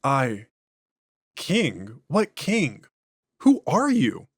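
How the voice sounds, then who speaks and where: A man's voice: medium pitch, huge pitch swings, very slow, breathy edge, no echo, clean studio sound, full character voice. A man voicing an earnest male interrogator who questions a prisoner at a secure facility. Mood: alarmed.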